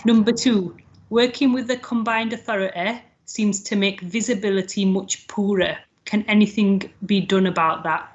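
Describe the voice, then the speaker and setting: A woman chairing an online council meeting, reading out questions in a measured way with some fillers. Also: mid-range voice, steady tone. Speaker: panel chair. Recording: dull lo-fi video call audio.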